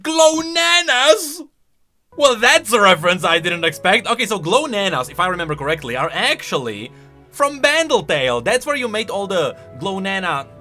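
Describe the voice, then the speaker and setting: Male host for casual gaming commentary, bright forceful tone, lively swings, thinks out loud emphatically. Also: high-pitched. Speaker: video creator and host. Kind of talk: casual game lore commentary.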